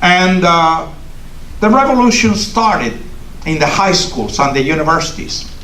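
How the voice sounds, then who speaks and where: A man's voice: fairly high pitch, animated, measured pace, pushed and bright. An older man from Cuba with a Cuban Spanish accent, native Spanish speaker, giving an emphatic, preacherly political speech to a meeting in a congregation hall. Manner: emphatic, preacherly, builds with repetition. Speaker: guest speaker. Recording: echoey hall from far off.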